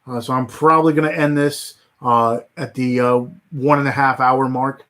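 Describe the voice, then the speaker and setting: A man chatting on a casual livestream at an ordinary pitch, drifting from thought to thought with plenty of fillers and false starts. His voice is wearing thin and turning hoarse. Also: fairly even intonation, normal speed, fairly bright tone. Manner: rambling, many fillers and restarts. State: voice getting hoarse. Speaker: livestream host.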